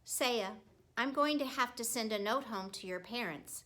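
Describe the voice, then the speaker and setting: Woman reading as a firm, bright-voiced schoolteacher. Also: on the high side, normal speed, lightly acted. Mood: firm, coaxing. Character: strict, brisk female schoolteacher in a children's storybook.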